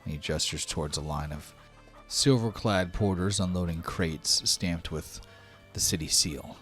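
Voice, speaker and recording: A man with a notably deep voice runs a tabletop fantasy game. He narrates scenes as game master, with music playing underneath. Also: unhurried, fairly bright tone. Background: background music.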